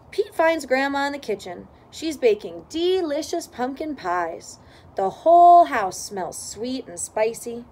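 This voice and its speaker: A woman reading a children's storybook aloud in expressive narration. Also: a little high, a little hiss or hum. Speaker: storybook reader.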